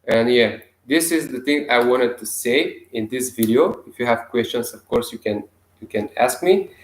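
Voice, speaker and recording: A young man from Bulgaria with a Bulgarian accent, talking casually to camera in halting English with many restarts and chuckles. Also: medium pitch, echoey room. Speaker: self-healing vlogger.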